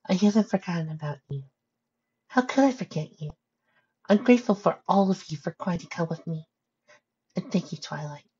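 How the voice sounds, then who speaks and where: A woman voicing a gentle, sorrowful young female pony in a storybook reading, speaking softly and earnestly. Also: lowish voice, animated, slightly rough, dull audio, voice pushed up. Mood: grateful. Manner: soft, earnest, measured.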